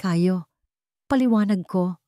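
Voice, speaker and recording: A woman's voice: on the low side, slow, mellow, no echo, studio quality. A woman voicing a scheming, greedy aunt who feigns concern, calculating and dramatic, in a storybook drama. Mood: feigned alarm.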